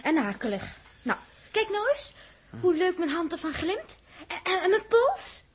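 An actress playing an expressive, girlish woman aboard a spacecraft in a 1960s radio drama, with a Netherlands Dutch accent. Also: very high-pitched, unhurried, heavily acted. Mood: playful, delighted. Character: Els, playful spacecraft crew woman turning frightened. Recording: noisy old radio drama recording.

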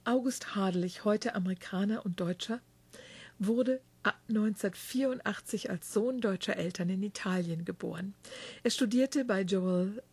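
Mellow, husky-voiced German woman host. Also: mid-range voice, normal speed, no room sound. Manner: chatty, warm-hearted reading with small slips and self-corrections. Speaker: radio host. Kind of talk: reading a guest biography, then interviewing. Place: backstage dressing room.

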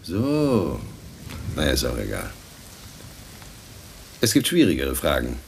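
A man's voice: rumbling low, wildly swooping pitch, slow pace, creaky, a little hiss or hum, exaggerated voice. An actor playing a sinister, cynical man in a German radio play, probing and insinuating with mock politeness. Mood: probing, leering.

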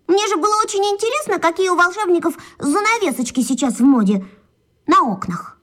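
A woman voicing a lively, curious and kind-hearted schoolgirl in a children's radio play, chattering in a childlike way. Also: extremely high pitch, a little fast. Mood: explaining. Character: lively inquisitive schoolgirl Katya, tenderhearted.

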